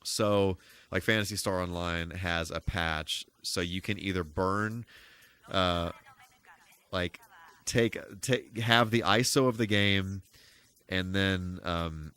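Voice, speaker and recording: A man streaming a game and chatting casually, thinking aloud in a rambling, halting way with folksy, mild exclamations. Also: sits low, pushed and bright, clear, resonant tone. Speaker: live streamer, host. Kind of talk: casual gameplay live stream chat.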